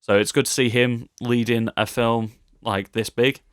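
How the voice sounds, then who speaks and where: A man's voice: mid-range voice, little pitch movement, normal speed, no echo, studio quality. A British man co-hosting a podcast, chatting casually about film news with playful, joking asides. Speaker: podcast co-host.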